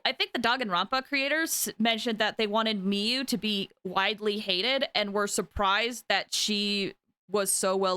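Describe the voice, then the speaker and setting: A woman's voice: on the high side, bright, forceful tone, smooth, no echo. A woman chatting casually and animatedly on a game livestream, rambling as she talks to viewers.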